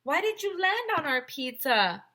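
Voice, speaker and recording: A woman's voice: high voice, big pitch swings, measured pace, bright, forceful tone, pitched above the performer's own voice, exaggerated voice. A woman voicing an earnest, eager male after-school director in a children's storybook, speaking emphatically. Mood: indignant.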